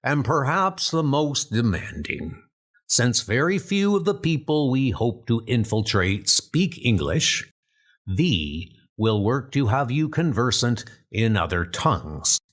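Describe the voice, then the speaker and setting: A man's voice: mid-range voice, very animated, slow pace, fairly bright tone, full character voice. Man as a formal wartime spy-school instructor. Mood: instructive. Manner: formal, explanatory. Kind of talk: comic dub acting.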